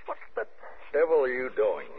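A smooth schemer in a 1950s radio drama. His pitch moves a lot, and the broadcast is thin and clearly noisy. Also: huge pitch swings, moderate pace, narrow, tinny audio. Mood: irritated. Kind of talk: 1950s radio thriller acting.

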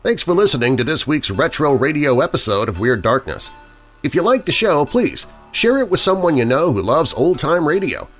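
A man's voice: fairly high pitch, big pitch swings, moderate pace, fairly bright tone. A man hosting a podcast, reading a scripted outro. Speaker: podcast host. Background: music.

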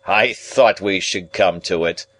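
Man acting domineering, deep-voiced lawyer, interrogating. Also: fairly bright tone, rough recording. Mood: curt, triumphant. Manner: emphatic, interrogating, deliberate, pedantic. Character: domineering, shrewd middle-aged male lawyer and guardian. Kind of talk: audiobook character dialogue.

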